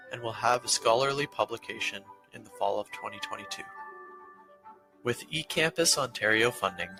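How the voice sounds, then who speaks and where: A man's voice: on the low side, low-quality recording. A man reading a formal, scripted award citation, presenting an honour. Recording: music behind the voice.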